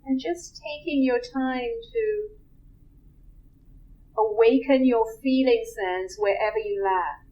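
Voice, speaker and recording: A woman guides a relaxation meditation in a soft, unhurried voice that sits a little high. She speaks very slowly and leaves long pauses between phrases, letting each instruction settle before moving on. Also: lively intonation, muffled recording.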